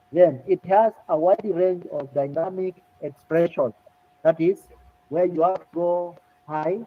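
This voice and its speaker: A man lecturing to students, explaining things deliberately and repeating key points. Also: a little high. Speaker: music lecturer. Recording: tinny phone-like audio.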